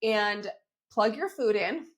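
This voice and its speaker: A woman hosting a fitness podcast while she has a cold, her voice crackly and a little squeaky, talking in an upbeat, chatty way and often checking in with her listeners. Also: lively intonation, slow. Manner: upbeat and chatty, keeps checking in with "right?" and "okay?". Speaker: fitness coach and podcast host. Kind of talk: solo podcast, coaching talk.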